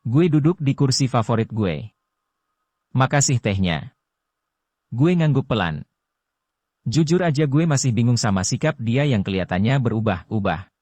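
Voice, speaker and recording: Male audiobook narrator, first-person story in casual slang, medium pitch, dry sound. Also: darkish tone, no room sound. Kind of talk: first-person novel reading.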